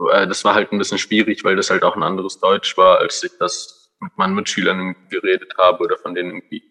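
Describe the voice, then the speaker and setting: A man's voice: deep voice, sharp tone, poor audio. A nineteen-year-old man from Greece, native Greek speaker, answering an interview casually with many fillers.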